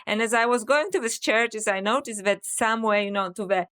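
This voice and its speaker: A woman with a Polish accent, a guest in a podcast interview, explaining things in a measured, reflective way with frequent "you know.". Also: fairly high pitch, fairly bright tone, smooth. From Poland. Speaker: author and scholar, podcast guest. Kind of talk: interview conversation.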